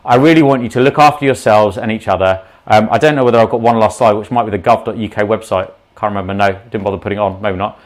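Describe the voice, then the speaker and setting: A man, a doctor, talking unscripted to viewers on a livestream in an outspoken, impassioned way that rambles into asides, with a slight sore throat and sniffle. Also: fairly low pitch. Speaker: doctor giving a livestream talk.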